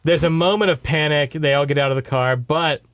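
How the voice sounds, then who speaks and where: A man's voice: on the high side, a little fast, a bit bright, dry recording, studio quality. A man hosting a podcast, retelling a book in casual, conversational chat and checking in with his listeners. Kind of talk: casual story recap chat.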